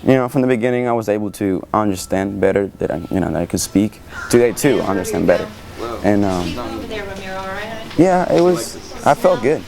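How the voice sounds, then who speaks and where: Deep-voiced young Cuban man, halting. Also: animated, gravelly, darkish tone. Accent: Cuban Spanish. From Cuba. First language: Spanish. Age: young adult. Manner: hesitant, many fillers. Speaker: student. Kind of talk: interview.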